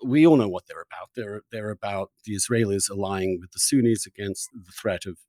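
A man's voice: on the low side, a little fast, mellow, no room sound. A man hosting an argumentative political conversation, blunt and insistent, often stammering into restarts as he presses his points. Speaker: podcast host and interviewer.

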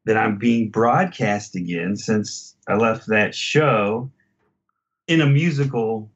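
A man speaking as a podcast guest in an earnest, measured and casual conversational way. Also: lowish voice, highs cut off. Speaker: podcast guest, former radio host.

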